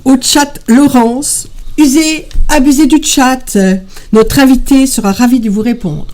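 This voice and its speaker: A woman hosting a talk show, opening with brisk, friendly introductions. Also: high voice, fairly even intonation, a little mellow. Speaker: host.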